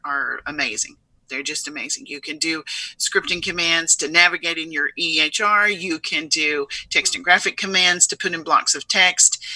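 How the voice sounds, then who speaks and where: Bright, upbeat businesswoman interview guest. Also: low-pitched, no echo, low-quality recording. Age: middle-aged. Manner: upbeat, laughs often, emphatic. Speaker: company chief executive, interview guest. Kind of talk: conversational interview.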